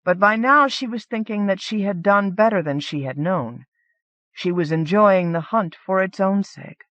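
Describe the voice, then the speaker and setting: A woman's voice: medium pitch, moderate pace, a bit bright, no echo. A woman reading literary fantasy fiction aloud as a scripted audiobook narration. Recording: dull lo-fi cassette audiobook transfer.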